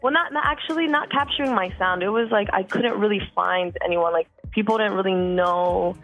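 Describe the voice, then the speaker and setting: A woman in her thirties, from Costa Rica, talking casually as a music guest, relaxed, with many fillers and repeated words. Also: mid-range voice, a little fast, poor audio. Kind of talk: casual interview chat.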